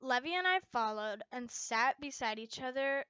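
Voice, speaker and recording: A young adult woman narrating a fan fiction story in an expressive amateur style, recorded up close at home. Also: high-pitched, moderate pace, clear, resonant tone, dry recording, dull audio.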